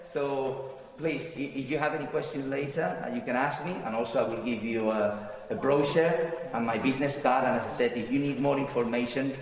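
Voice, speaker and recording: A man presenting at a seminar, halting and repeating words. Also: mid-range voice. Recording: echoey hall recording from far off.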